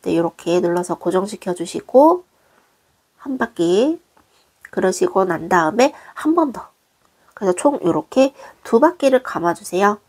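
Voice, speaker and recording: A woman, hoarse from a cold, patiently walking viewers through a craft tutorial step by step in a gentle, polite way. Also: medium pitch, big pitch swings, measured pace. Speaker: crochet designer teaching.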